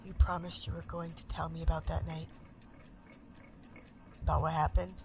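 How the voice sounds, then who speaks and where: An actress playing a haunted, restless woman in an audio drama monologue, halting, intense and emotional. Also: low-pitched, flat delivery, darkish tone. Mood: anguished, determined. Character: haunted, restless woman desperate to recover her lost identity.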